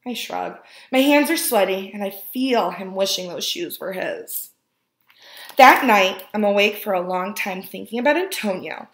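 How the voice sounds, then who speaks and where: A woman reading a children's picture book aloud as a storyteller, expressively and with deliberate emphasis on key words. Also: mid-range voice, expressive, a bit bright. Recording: roomy, echoing indoor sound.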